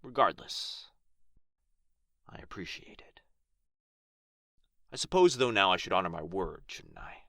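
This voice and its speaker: A man's voice: low voice, animated, measured pace, long pauses, pushed and bright, no room sound, studio quality, full character voice. A man playing a proud, pompous male dragon in a fantasy ASMR roleplay, with archly formal, theatrical phrasing. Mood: softening, grateful. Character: proud, haughty injured male dragon, grudgingly grateful, teasingly possessive.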